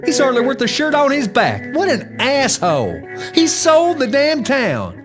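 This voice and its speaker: A resentful townsperson from an animated game, disdainful and muttering. The pitch leaps up and down wildly, with words drawn out for emphasis, and music plays underneath. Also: unhurried. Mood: bitter, accusing. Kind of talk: animated game cutscene voice acting.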